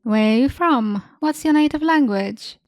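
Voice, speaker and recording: A young woman with a Polish accent reads her channel's questions and her own answers in a calm, matter-of-fact way. Her voice sits fairly high but has a soft, mellow tone. The recording is dry and close, with no room sound. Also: a little slow, dry recording. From Eastern Europe. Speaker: channel host. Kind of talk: scripted Q&A narration.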